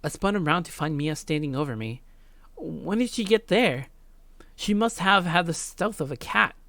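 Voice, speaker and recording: A woman's voice: sits low, lively intonation, moderate pace, fairly bright tone, no echo. A woman hosting a let's play, reading visual novel narration and adding casual gaming commentary, close on the mic.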